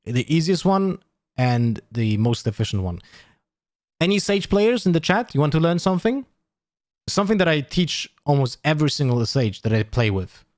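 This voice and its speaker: A man's voice: a little high, moderate pace, no echo. This is a man going over game footage, talking things through as they occur to him. His voice rises and falls a great deal and he drops swear words casually. The sound is close and dry, with no room around it. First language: Polish. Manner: animated, swears freely, thinks aloud. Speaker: esports analyst streamer. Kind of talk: casual VOD breakdown.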